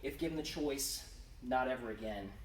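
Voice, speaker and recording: Male author, theatrical horror reading, emphatic delivery, medium pitch, distant roomy mic with faint noise. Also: moderate pace. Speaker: author reading his own story. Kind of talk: dramatic live reading of horror fiction. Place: indoor convention event room. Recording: roomy, noisy live room, distant mic.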